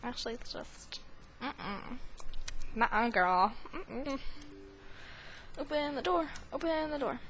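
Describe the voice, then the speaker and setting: A woman's voice: sits high, expressive, slow pace, long pauses, a bit bright, a little hiss or hum. A woman giving casual gaming commentary in a playful, rambling, chatty way. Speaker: gaming video host. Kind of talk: casual let's play commentary. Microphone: webcam recording setup. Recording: game music faintly underneath.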